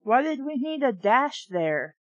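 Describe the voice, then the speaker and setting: A woman's voice: high-pitched, big pitch swings, unhurried. A woman reading a storybook aloud and breaking off into snarky, exasperated, profane commentary. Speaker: reader and book reviewer. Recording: dull, echoey room recording.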